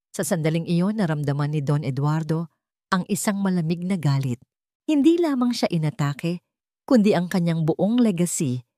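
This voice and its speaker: A woman with a Filipino accent reads a dramatic story as a scripted audiobook. Her voice sits low for a woman. The delivery is measured and formal, and the sound is close and dry, free of room reflections. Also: a little mellow, no room sound.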